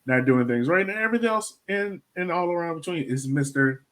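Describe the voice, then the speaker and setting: A man's voice: on the high side, fairly quick, a bit bright. A man hosting a podcast interview in a casual, enthusiastic, slangy way, talking like he is chatting with a friend. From Jamaica. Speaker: podcast host interviewing a guest.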